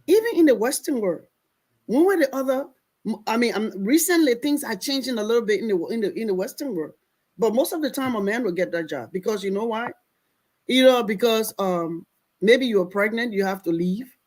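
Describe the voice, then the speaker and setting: A woman's voice: on the high side, animated, a little fast, a little mellow. Woman hosting a panel discussion. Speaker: discussion host.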